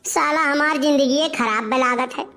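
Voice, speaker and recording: A woman's voice: sits high, normal speed, sharp tone. A woman voicing a cheeky, lovesick, idle young village man in a comic cartoon, whining and arguing in an Awadhi accent. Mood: dejected.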